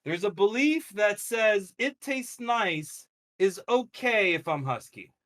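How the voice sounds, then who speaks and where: A man hosting a live coaching call, talking casually and acting out thoughts with sudden loud bursts. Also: high-pitched, slow. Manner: energetic, acts out his own thoughts in a loud excited voice.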